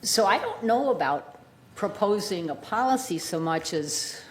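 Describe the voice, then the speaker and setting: A woman answering off the cuff as a candidate at a public meeting, speaking haltingly and searching for words. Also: medium pitch, expressive, a little hiss or hum, low-quality recording. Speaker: board candidate at a community forum. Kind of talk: impromptu spoken answer at a meeting.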